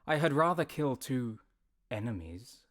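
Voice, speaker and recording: An actor playing a cold, willing hired assassin in a Shakespearean audio drama, who speaks curtly and eagerly. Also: male voice, medium pitch, big pitch swings, a little slow, a bit bright. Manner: curt, eager and obedient.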